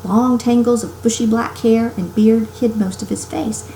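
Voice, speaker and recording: A woman reading a children's novel aloud as an expressive storyteller. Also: fairly high pitch, normal speed. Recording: roomy home recording with faint noise.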